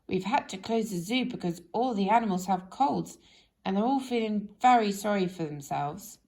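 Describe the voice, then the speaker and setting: A woman's voice: mid-range voice, lively intonation, normal speed, darkish tone, close to an everyday voice. A woman voicing an apologetic, grateful male zookeeper in a children's story, speaking earnestly and with emphasis.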